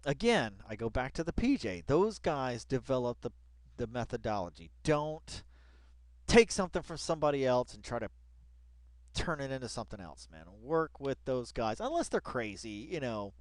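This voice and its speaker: Male online host, mid-pitched, for loose article commentary; colloquial and emphatic, doubles up on phrases, dry recording. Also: sing-song, moderate pace, dry recording. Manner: slangy, colloquial, addressing absent people directly; emphatic, repeats phrases for effect. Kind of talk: casual read-along commentary on a web article.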